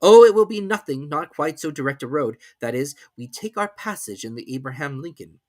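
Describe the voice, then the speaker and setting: Male reader as impatient professor in his forties, brisk orders, eager, dry close sound, storybook adventure. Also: fairly high pitch, expressive, a little mellow, no room sound, pitched above the performer's own voice, close to an everyday voice. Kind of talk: storybook character reading.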